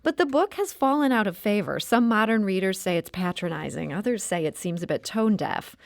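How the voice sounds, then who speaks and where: A woman hosting a radio talk show, introducing and interviewing in a measured, articulate way. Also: mid-range voice, animated, creaky, no echo, clean studio sound.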